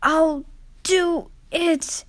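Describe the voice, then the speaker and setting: A woman's voice: very high voice, steady tone, very slow, fairly bright tone, no echo, voice pushed up, full character voice. A woman voicing a plucky, anxious little male steam engine in a children's storybook, with earnest, effortful exclamations. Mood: desperate determination.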